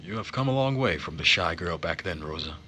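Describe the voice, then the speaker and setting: Man acting a low, gentle, earnest nobleman. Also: expressive, fairly quick. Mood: kind. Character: gentle, earnest young nobleman, quietly sorrowful. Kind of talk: visual novel character read-aloud. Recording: music behind the voice.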